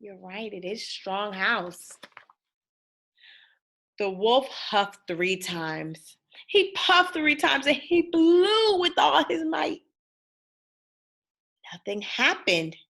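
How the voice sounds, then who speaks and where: A woman reads a children's story aloud with a bright, fairly high voice. Her delivery is dramatic and unhurried, addressed directly to young listeners. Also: animated. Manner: dramatic, pausing to ask listeners questions. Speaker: storybook reader. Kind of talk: animated children's read-aloud.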